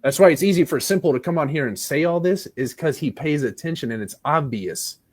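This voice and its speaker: A man's voice: fairly high pitch, expressive, a little fast. A man arguing in a live debate as a panelist, blunt and insistent, with frequent swearing.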